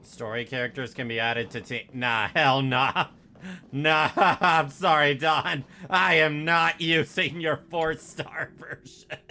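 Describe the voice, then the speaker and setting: Male livestreamer: bright, unhurried, informal reaction chat with exclamations. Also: on the high side, a little hiss or hum.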